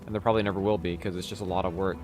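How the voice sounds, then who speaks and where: A man's voice: low-pitched, steady tone, racing, over music. A young man from Texas with a light Texan accent, chatting casually with viewers while streaming a game, rambling with lots of fillers. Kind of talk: casual live-stream chat while playing.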